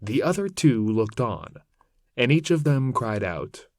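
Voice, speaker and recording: Male narrator, epic poetry recitation, slow deliberate pace, animated intonation, solemn, theatrical, archaic English. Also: mid-range voice, dry recording, clean studio sound. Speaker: narrator reading poetry aloud.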